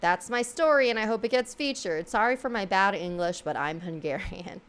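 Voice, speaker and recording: A woman's voice: medium pitch, moderate pace, a bit bright, smooth. A young woman hosting, casually reading out viewer stories with giggles and lots of fillers between them. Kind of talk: casual read-aloud storytelling.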